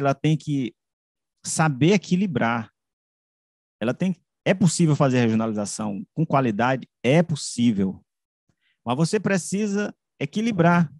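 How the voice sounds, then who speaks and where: A man explains in an academic discussion, haltingly, repeating and rephrasing and asking rhetorical questions, close to the microphone. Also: fairly high pitch, a little mellow, no echo. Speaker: media researcher on a panel. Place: room with bookshelves, on a video call. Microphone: large microphone close to the mouth.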